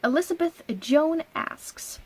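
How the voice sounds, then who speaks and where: A woman in her thirties from Norway, hosting a crafting podcast in a chatty, casual way, laughing often and pausing with ums. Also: high-pitched, slight background noise. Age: 30s. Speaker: knitting podcast host. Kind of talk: casual solo podcast chat.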